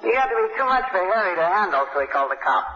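A man's voice: high-pitched, big pitch swings, rapid-fire. An actor playing a flirtatious, clingy, sassy platinum blonde woman in a 1950s radio noir drama. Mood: teasing, coaxing. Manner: coaxing, sassy, slangy. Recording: muffled 1950s radio recording.

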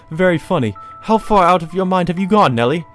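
A man's voice: sits high, expressive, fairly quick, fairly bright tone, soft background music, pitched above the performer's own voice. A man voicing a distraught, defensive young nobleman in a visual novel game, stammering and indignant. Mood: disbelieving.

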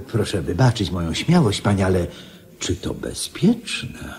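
A man's voice: low voice, big pitch swings, slow pace, a little mellow, heavily acted. A man playing a deferential, anxious court marshal in a radio play, obsequious and hesitant. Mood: worried.

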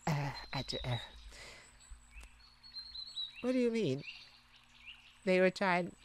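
A woman's voice: low-pitched, a little hiss or hum. A woman playing a kind, protective, flustered young mage in a romantic ASMR roleplay, hesitant and stammering. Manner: hesitant, stammering, soft-hearted. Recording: steady outdoor birdsong ambience behind voice.

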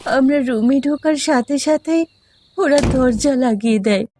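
A woman's voice: sits high, unhurried, background noise. A woman voicing the anguished, pleading ghost of a wronged village girl in a cartoon. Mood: sorrowful, bitter. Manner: tearful, pleading. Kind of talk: dramatic cartoon voice acting. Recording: music behind the voice.

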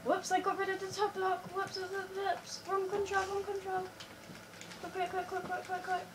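A high-pitched girl with a bit of a croaky voice, chatting casually as she plays a building game with a friend. She rushes, repeating words over and over as time runs out. She is picked up from across a room by a phone, with a steady hiss of noise. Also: distant mic. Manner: hurried, repeats words under time pressure. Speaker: co-host playing a building game. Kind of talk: casual gameplay chat. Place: living room, in front of a TV. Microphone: phone camera filming the TV screen.

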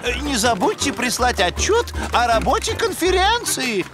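A man voicing a pompous male elk scientist who lectures his friends in a lofty tone, over loud cartoon music. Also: very high-pitched, huge pitch swings, moderate pace, full character voice.

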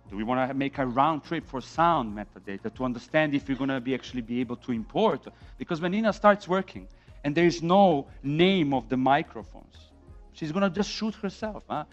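A man in his thirties from Serbia with a Serbian accent, giving an informal, emphatic talk as a conference speaker. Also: mid-range voice. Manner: animated, emphatic, rhetorical questions. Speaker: conference speaker on film sound. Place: dim indoor conference room, on stage. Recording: dull lo-fi event recording with music.

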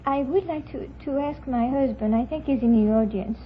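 A woman's voice: high voice, moderate pace. A woman replying hesitantly to a host as a quiz show contestant. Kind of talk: hesitant unscripted replies to host. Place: radio studio with live audience. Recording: muffled old radio with audience noise.

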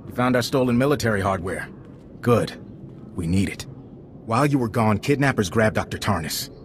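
A man playing a brisk, serious military intelligence agent in a video game cutscene, speaking in a clipped, businesslike way. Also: on the low side, expressive, slight background noise, natural voice. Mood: urgent. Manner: clipped, businesslike briefing delivery.

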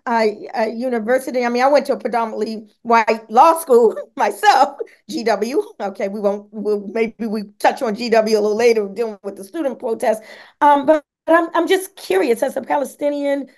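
A woman's voice: a little high, moderate pace. A woman radio host asking a guest questions over a video call, informal and loose, with fillers. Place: indoor home office. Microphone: video call webcam.

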